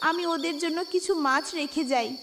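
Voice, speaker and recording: A compassionate cartoon water fairy played by a woman, very high and musing, drenched in long reverb. Clear background noise surrounds the pitying voice. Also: fairly quick, natural voice. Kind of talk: cartoon voice acting. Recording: distant, long reverb, noisy night ambience.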